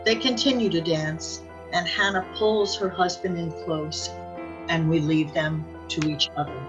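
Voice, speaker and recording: A woman's voice: on the low side, measured pace, dull audio, music in the background. A woman narrating a scripted radio drama as its storyteller.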